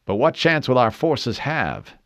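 A man's voice: medium pitch, big pitch swings, measured pace, a bit bright, no echo, clean studio sound, voice pushed up. A man voicing a serious, coolly logical male soldier in an audiobook. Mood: cool, doubtful. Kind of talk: audiobook character voice.

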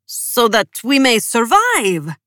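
A woman's voice: medium pitch, lively intonation, normal speed, a bit bright, no echo, clean studio sound, pitched above the performer's own voice, close to an everyday voice. A woman voicing a cautious, anxious male cult elder from a storybook, pleading in a raised voice.

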